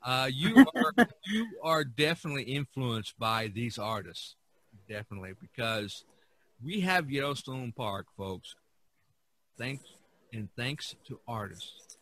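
Man, podcast host, bright voice, average pitch, casual discussion, enthusiastic storytelling, frequent restarts. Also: big pitch swings, a little slow. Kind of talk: casual art history discussion.

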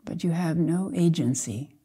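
An older woman leading a guided meditation as a Zen teacher, speaking softly and gently in short, measured phrases with long pauses. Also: sits low, slightly rough.

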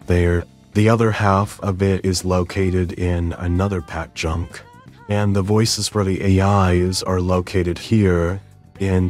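A man with a low voice hosts a software tutorial. He explains things step by step, with music playing clearly behind him. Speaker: tutorial host. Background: background music.

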